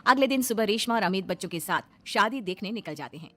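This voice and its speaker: A woman's voice: medium pitch, animated, fast, bright, forceful tone. A woman narrating a scripted cartoon story.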